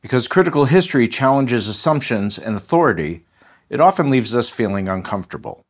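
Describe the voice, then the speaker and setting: A man with an American accent, a history professor speaking as an interview guest in a measured, articulate way. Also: mid-range voice, big pitch swings. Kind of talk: thoughtful interview talk.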